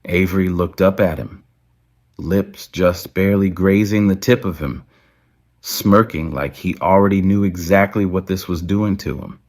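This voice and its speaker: A man narrating scripted romance fiction in a measured, deliberate way with dramatic pauses. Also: sits low, a little mellow. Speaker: audio story narrator.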